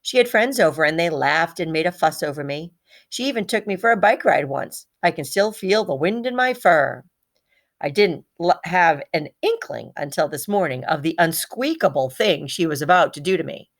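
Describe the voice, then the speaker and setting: A woman reading a children's story aloud in an expressive, animated manner. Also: low-pitched, moderate pace.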